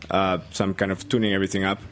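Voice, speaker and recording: A man's voice: sits low, level tone, rapid-fire, fairly bright tone. A young man from Colombia with a Colombian Spanish accent, a bartender guest chatting hesitantly with many ums. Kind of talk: casual interview chat.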